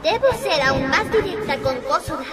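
Woman doing excitable scheming anime schoolgirl, super high pitched, latin american spanish, loud music behind. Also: moderate pace, over a noisy crowd. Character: excitable lustful teenage schoolgirl, scheming and easily flustered. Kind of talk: anime fandub acting with inner monologue.

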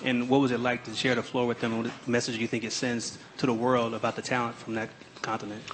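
A male reporter asking a formal, steady question at a press conference. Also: mid-range voice, fast, background noise. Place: press room, in the audience.

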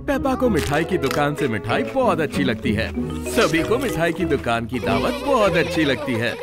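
A woman's voice: low-pitched, sing-song, normal speed. A woman narrating a cartoon for kids in a sing-song storytelling manner. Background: loud cartoon music.

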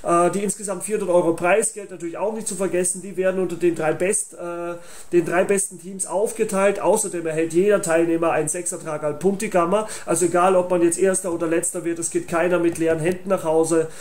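A man's voice: high voice, steady tone, fast talker, a little mellow. A young man, hosting an online channel and making an informal promotional announcement to viewers. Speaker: YouTube channel host and tournament organiser.

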